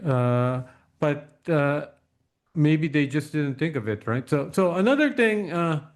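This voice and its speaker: A man hosting a casual interview, asking probing questions in a halting way and often repeating words. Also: on the high side, lively intonation. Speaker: interview host.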